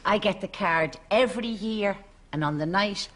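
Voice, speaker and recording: A woman's voice: medium pitch, normal speed, a bit bright, natural voice. A woman playing a chatty, earthy older Irish neighbour in a sitcom, naively frank and matter-of-fact. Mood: cheerfully frank. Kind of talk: studio sitcom acting.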